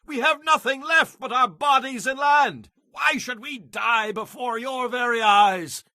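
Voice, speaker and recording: A desperate Egyptian villager in a scripture drama. The voice is extremely high and sharp, pleading with urgency like someone starving. The recording is dry. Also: male voice, measured pace, no room sound, full character voice.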